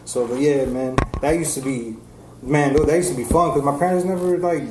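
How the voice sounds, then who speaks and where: Jersey guy in his 40s chatting loose as a podcast guest, slightly high voice, echoey room. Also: brisk. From New Jersey, USA. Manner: relaxed, slangy storytelling. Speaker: guest, music engineer. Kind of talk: casual interview. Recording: echoey room with faint noise.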